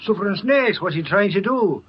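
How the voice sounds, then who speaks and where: An actor playing a blustering, slow-witted comic sidekick given to folksy exclamations in an old radio drama. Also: male voice, high-pitched, animated, a little hiss or hum. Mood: flustered bluster. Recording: lo-fi vintage radio.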